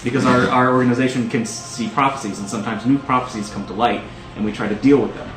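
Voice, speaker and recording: A man playing a tabletop game, casually improvising a character description in a halting, rambling way. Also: medium pitch, steady tone, fairly bright tone. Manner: halting, rambling, filler-heavy. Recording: echoey room, music behind.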